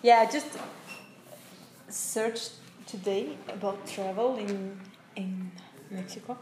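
A woman from Switzerland, chatting in halting English with many fillers as the one being interviewed. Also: mid-range voice, fairly even intonation. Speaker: interviewee, traveller. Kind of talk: casual chat in learner English. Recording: echoey room with noise behind.

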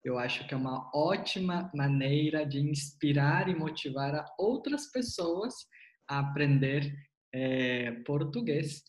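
A man interviewing a student about an online language course. He talks in a casual, animated way, exclaims often and repeats key words. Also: on the high side, unhurried, reverberant.

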